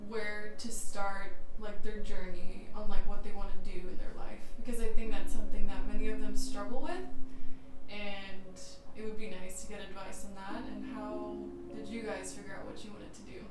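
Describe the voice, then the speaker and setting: A woman's voice: mid-range voice, fairly even intonation, normal speed, heavy reverb, distant mic, heavy background noise. A woman asking the hosts a question, hesitant and full of fillers. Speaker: question asker.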